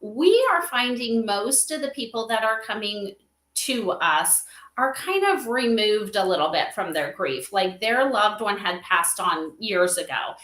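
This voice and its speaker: A woman's voice: mid-range voice, expressive, measured pace, a bit bright. A middle-aged woman talking earnestly and at length in a conversational interview, sharing personal anecdotes. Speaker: guest, spirit advisor for an AI memorial company. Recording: roomy, echoey livestream sound.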